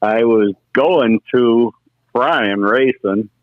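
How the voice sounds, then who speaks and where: A man's voice: medium pitch, very slow, no echo. A middle-aged man, a former racer, casually telling folksy stories as an interview guest over the telephone. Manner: anecdotal, folksy storytelling. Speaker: former race car driver, interview guest. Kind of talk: casual reminiscing on a phone interview. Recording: muffled, narrow telephone line.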